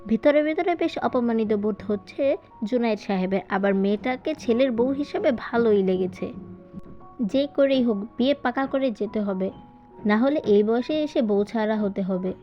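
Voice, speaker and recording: A woman's voice: a little high, lively intonation, normal speed. A woman reading a scripted story as a dramatic audiobook narration. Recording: dull lo-fi voiceover with music. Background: background music.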